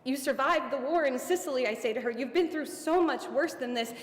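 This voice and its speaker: A woman reading a heartfelt personal story aloud at a theater event, tender and slowing down on the emotional lines. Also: sits high, slight background noise. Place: theater stage. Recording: echoey hall, faint ambience.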